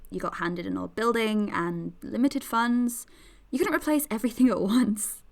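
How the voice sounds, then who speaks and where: A woman's voice: on the high side, normal speed, gravelly. An actress playing a supportive, chatty young woman in a naturalistic audio drama, speaking informally and reassuringly. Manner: informal, reassuring, hesitant phrasing.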